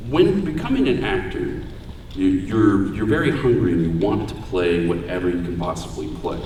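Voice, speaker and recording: A man with a deep voice giving a casual, joking talk about voice acting on a convention panel. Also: expressive, moderate pace, a little mellow, a little hiss or hum. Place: large convention hall room. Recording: echoey hall, voice far from mic.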